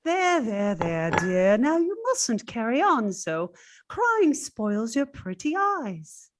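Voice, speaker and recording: A woman's voice: fairly high pitch, sing-song, slow. A woman playing a scheming, cosy, outspoken household servant in an old-time radio drama, coaxing and conspiratorial. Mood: soothing.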